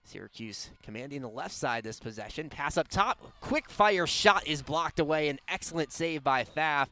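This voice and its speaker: A man's voice: on the high side, expressive, moderate pace, sharp tone. A young man doing live radio play-by-play sports commentary in a clipped, descriptive way. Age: young adult. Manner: clipped, descriptive call of the action. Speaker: play-by-play announcer.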